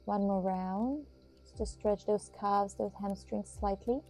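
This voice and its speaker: A woman with a very dark, mellow voice at a medium pitch leads a guided yoga session. She instructs in a soothing, measured manner, leaving pauses between short phrases. Music plays behind her. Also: fairly even intonation.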